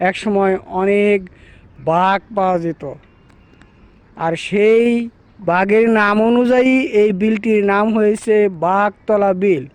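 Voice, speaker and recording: A man narrates village folklore to viewers in a deliberate, repetitive, formal presenter's manner. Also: high voice, no room sound. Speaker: storyteller presenter.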